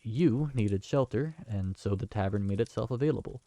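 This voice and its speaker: A man's voice: lowish voice, moderate pace, dark tone, dry recording, natural voice. A man playing a young man who just inherited a magical tavern, speaking in a polite, earnest, slightly flustered way. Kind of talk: fantasy audio drama acting.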